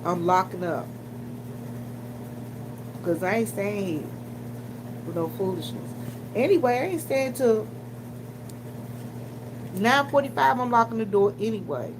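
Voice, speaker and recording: A woman from Washington, D.C. talking casually and colloquially to viewers, telling stories in an animated way. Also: mid-range voice, a little slow, pauses often. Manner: animated, colloquial, acts out other people's remarks. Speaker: vlogger and gas station worker. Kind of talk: casual chatty storytelling to viewers. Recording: noisy room with loud hum. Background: loud humming background noise.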